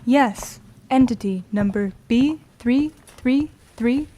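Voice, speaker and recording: Woman acting slow, polite AI guide. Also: on the high side, warm tone, slight background noise. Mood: formal, apologetic. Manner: measured, formal, courteous. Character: polite, formal female AI guide system. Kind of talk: audio drama announcer, scripted.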